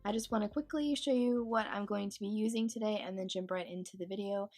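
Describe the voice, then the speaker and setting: A woman's voice: mid-range voice, steady tone, quick pace, a little mellow, soft background music. A young woman with a stuffy, congested voice from a cold, talking casually through a Bible journaling craft video. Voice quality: stuffy nasal. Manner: chatty, with fillers and light laughs. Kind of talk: casual craft tutorial voice-over.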